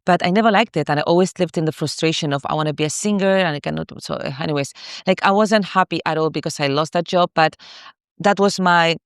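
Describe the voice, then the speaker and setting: A woman's voice: low voice, fast, clean tone, dry recording, clean studio sound. A woman in her thirties from Morocco, a coach candidly telling her own story in a masterclass, repeating herself with many fillers. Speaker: spiritual coach.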